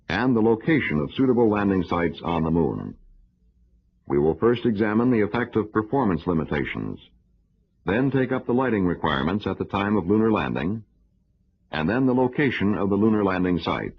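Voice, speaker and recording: A man delivering scripted educational film narration in a formal, measured, instructional manner. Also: sits low, breathy edge. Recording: dull, lo-fi old film soundtrack.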